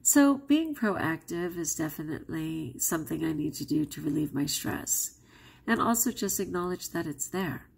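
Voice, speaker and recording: A woman's voice: sits low, animated, moderate pace, creaky, slightly rough. A woman, not a native English speaker, talking candidly to her camera in a chatty vlog. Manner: candid and chatty, with frequent laughs. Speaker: vlogger. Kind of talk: casual vlog talk to camera.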